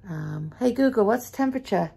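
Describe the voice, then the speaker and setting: A woman's voice: fairly high pitch, expressive, brisk, vocal fry, dark tone, husky. A middle-aged woman chatting casually to viewers in a rambling way, recorded at home in a living room on a camera at arm's length. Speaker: vlogger.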